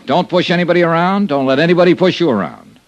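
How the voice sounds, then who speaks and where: A man's voice: fairly high pitch, expressive, fast talker, highs cut off, natural voice. An American man playing a tough, commanding investigator in an old-time radio drama, with clipped, hard-boiled delivery. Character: tough, commanding insurance investigator, brisk and determined. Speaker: lead actor and narrator of a detective radio serial.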